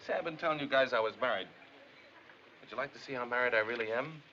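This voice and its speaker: A man's voice: mid-range voice, fast talker, pushed and bright, natural voice. An actor playing a chummy, boastful middle-aged American man with a folksy, wisecracking way of talking, in a 1950s film. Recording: muffled, noisy old film soundtrack.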